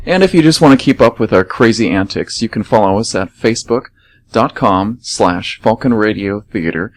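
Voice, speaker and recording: A man's voice: on the low side, animated, normal speed. A man hosting and narrating a radio theater show in scripted announcements and narration.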